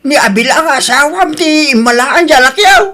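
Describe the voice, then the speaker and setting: A man's voice: very high-pitched, animated, moderate pace, pushed and bright, full character voice. A man with an Ilocano accent playing a crusty elderly grandfather in an audio drama, grumbling and questioning. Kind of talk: Ilocano radio-style drama acting.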